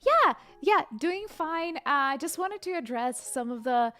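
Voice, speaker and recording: A young woman, a livestreamer chatting casually and reading viewer comments aloud, excitable and hesitant in her English. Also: high-pitched, unhurried. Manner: chatty, excitable, bursts of squeals and laughter; hesitant non-native English with many fillers.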